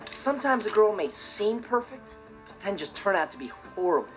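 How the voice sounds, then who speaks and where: A man's voice: high-pitched, big pitch swings, moderate pace, music in the background. A young man with an American accent playing a cool, carefree, mischievous teenage brother in a sitcom, talking casually to camera. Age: teenage to young adult. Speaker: sitcom lead. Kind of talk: scripted sitcom monologue to camera.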